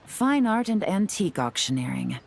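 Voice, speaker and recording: An actress playing a shrewd, composed female investigator in a video game cutscene, explaining things in a calm, measured way. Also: mid-range voice, gravelly, a little hiss or hum.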